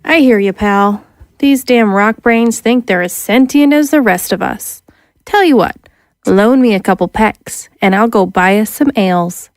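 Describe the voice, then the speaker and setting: A woman's voice: medium pitch, a little slow, no echo, lightly acted. An actress playing a tough, wisecracking female alien space smuggler with brash, slangy talk.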